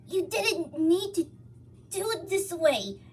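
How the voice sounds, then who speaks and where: A woman voicing an anguished, betrayed male hedgehog in shrill, tearful shouts, in dramatic storybook reading. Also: sing-song, slow pace, distant mic, faint music behind, heavily acted. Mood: pleading.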